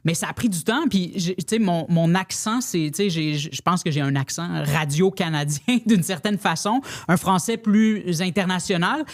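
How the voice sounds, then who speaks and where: A woman's voice: on the low side, moderate pace, few pauses. A woman with a Québécois accent, a podcast guest talking frankly in casual conversation, restarting sentences often. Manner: frank and blunt, lots of restarts and filler phrases.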